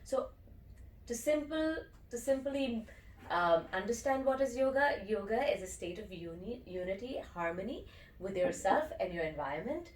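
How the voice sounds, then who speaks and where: A woman's voice: medium pitch, animated, normal speed, echoey room, distant mic, a little hiss or hum, low-quality recording. A woman from India, a yoga and breathwork teacher, explaining her practice eagerly as an interview guest. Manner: enthusiastic, explanatory, often checks in with "okay?".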